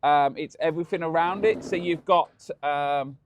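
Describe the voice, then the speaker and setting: A man's voice: a little high, moderate pace, a little hiss or hum. A man with a Birmingham accent chatting casually and explaining a truck repair in a workshop. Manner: chatty, laughing, explaining while working. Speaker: channel host.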